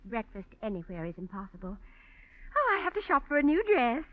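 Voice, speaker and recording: An actress playing an aloof, sweetly dismissive society wife in a 1940s radio drama, breezy in manner. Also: female voice, fairly high pitch, very animated, fairly quick, crowd noise. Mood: coolly dismissive. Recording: dull, lo-fi vintage radio recording.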